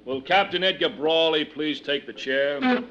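An actor playing a firm, formal chairman of an official inquiry, questioning in a measured way in 1950s radio drama style. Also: on the high side, fairly bright tone, dull audio, slight background noise.

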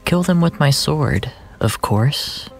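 Guy narrating a story, slow n brooding, stretches out phrases, bit of music behind him. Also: fairly high pitch, lively intonation. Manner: deliberate, brooding, with drawn-out phrases. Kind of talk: dramatic first-person fiction narration.

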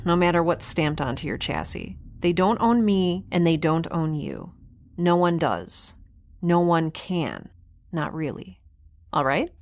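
Woman as an earnest lowish-voiced space girl. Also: lively intonation, unhurried. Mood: earnest insistence. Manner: hesitant, conversational. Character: earnest, chatty young woman living aboard a spaceship. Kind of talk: science fiction audio drama.

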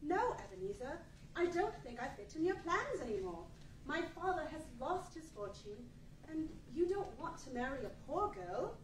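An actress playing a sorrowful, principled young fiancée in a radio play, speaking earnestly and deliberately. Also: female voice, sits high, big pitch swings, slight background noise. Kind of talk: 1940s live radio play acting. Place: large meeting room with seated audience, far camera. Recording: echoey hall, distant microphone.

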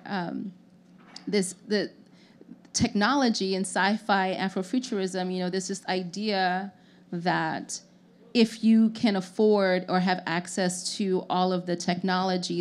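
A young woman from Haiti speaking as an artist on a panel, earnest and thoughtful, often restarting her phrases, into a handheld mic in an indoor lounge. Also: fairly low pitch. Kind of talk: panel discussion. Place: lounge venue with audience, indoors.

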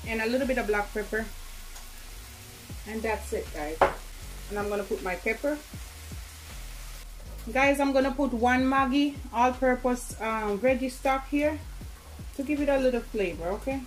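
A woman's voice: on the high side, moderate pace, pauses often, soft background music, rough recording. A woman with a Jamaican accent, casually talking viewers through cooking in her home kitchen, calling out to them often. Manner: chatty, keeps calling to viewers. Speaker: cooking vlogger. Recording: sizzling pan and kitchen noise behind.

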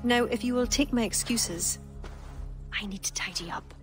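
An actress playing a brash, teasing young Irish warrior poetess in a game cutscene, with a wry, playful, theatrical manner. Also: female voice, a little high, moderate pace, over music, close to an everyday voice. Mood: firm, arch.

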